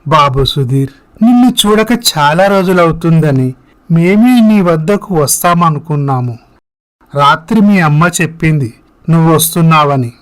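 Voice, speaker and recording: A man's voice: on the high side, lively intonation, measured pace. A man voicing a concerned, affectionate older father in a dramatized moral story.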